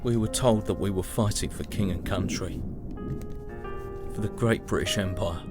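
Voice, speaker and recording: Casting: male actor as weary young British Great War soldier, lowish voice, measured and reflective journal monologue over prominent music. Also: natural voice. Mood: sombre, reflective. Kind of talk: dramatic first-person audio drama monologue.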